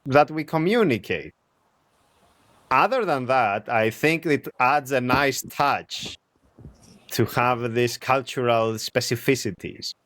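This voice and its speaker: A man hosting a casual conversation, talking in an animated way, acting out imagined remarks and laughing easily. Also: mid-range voice, slow. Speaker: podcast host.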